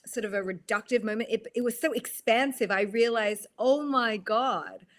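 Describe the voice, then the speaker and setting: An Australian woman from Australia, a guest on a podcast interview, speaking reflectively with many halting restarts. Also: on the high side. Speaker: guest, actress.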